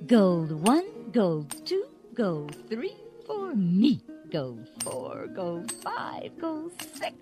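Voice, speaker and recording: A woman's voice: medium pitch, wildly swooping pitch, extremely slow, hardly any pauses, dark tone, full character voice. A woman playing a spoiled, defiant young princess in a radio play, haughty and greedy. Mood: smug, dreamy. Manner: haughty, bratty. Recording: music behind the voice.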